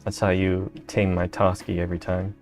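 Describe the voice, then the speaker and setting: A man's voice: deep voice, monotone, normal speed, a little mellow, faint music behind. A man chatting casually on a stream, dry and playful with his co-host.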